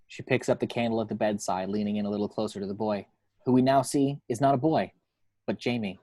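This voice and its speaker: A man's voice: on the low side, steady tone, fast talker, mellow, dry recording. Man narrating a screenplay, dry close sound. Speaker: reader of action descriptions. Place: at home.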